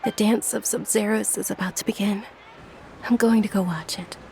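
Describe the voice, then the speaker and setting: A woman's voice: mid-range voice, fairly even intonation, moderate pace, vocal fry, a little mellow, a little hiss or hum, close to an everyday voice, low-quality recording. A woman playing a polite young townswoman in a video game cutscene. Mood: polite, departing.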